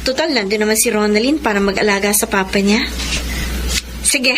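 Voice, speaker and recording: A woman voice actor playing a married woman quietly torn by love, in a radio drama with music under her voice. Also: mid-range voice, fairly quick, very noisy, natural voice. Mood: tender.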